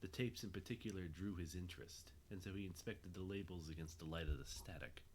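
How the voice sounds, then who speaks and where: A man's voice: deep voice, a little fast, a little hiss or hum. A man narrating an audio drama from a script, in the role of storyteller.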